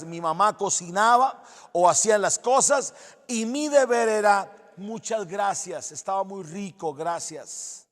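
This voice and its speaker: A man's voice: sits high, long reverb tail. A male pastor preaching a sermon in earnest, exhorting tones, repeating phrases for emphasis.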